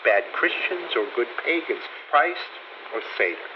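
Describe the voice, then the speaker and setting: Radio drama: urgent, cautioning narrator, rhetorical verse, dull noisy sound. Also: male voice, medium pitch, very animated, measured pace. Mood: fervent, warning. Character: conspiratorial male narrator warning the audience.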